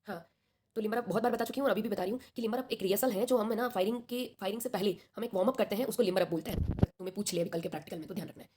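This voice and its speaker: A woman's voice: medium pitch, very fast, warm tone, a little hiss or hum. A young woman with a sore throat teaching an online class, chatty and reassuring, checking in with her students often.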